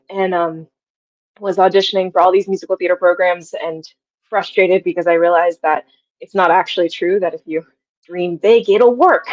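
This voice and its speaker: A young adult woman chats as an interview guest in an effusive, anecdotal way. Her voice is on the low side for a woman, and the recording is dry and a little dull. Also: no echo. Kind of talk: casual, anecdotal interview talk.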